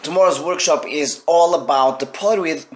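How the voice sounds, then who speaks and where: A tired, hoarse man, a drum teacher, talking casually to camera in a vlog about his teaching day. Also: fairly high pitch, moderate pace.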